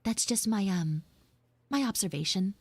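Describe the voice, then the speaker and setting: A woman voicing a sassy, flustered high school tsundere frog girl in a casual, blunt, rambling live audio roleplay. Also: expressive, a little slow, breathy edge, no echo. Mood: indignant, snarky. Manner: blunt, snarky, swearing, rambling asides.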